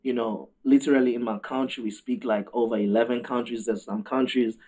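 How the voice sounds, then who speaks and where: A man with a South African accent speaks as a guest artist in an interview. His voice sits at a medium pitch, and he answers in a conversational way. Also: steady tone, a little fast, mellow.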